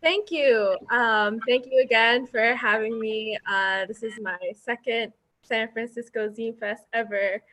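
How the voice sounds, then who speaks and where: A young woman, founder and editor of a zine, presenting her work on a video call in a soft-spoken way with many ums and uhs. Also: on the high side, unhurried. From born in Indonesia, raised in upstate New York.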